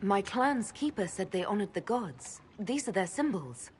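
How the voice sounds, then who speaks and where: An actress playing an earnest, resolute elven mage leader in a video game, with a direct, sincere way of talking. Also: female voice, mid-range voice, big pitch swings, moderate pace, slight background noise, natural voice. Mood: hurt, disillusioned. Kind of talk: dramatic video game dialogue.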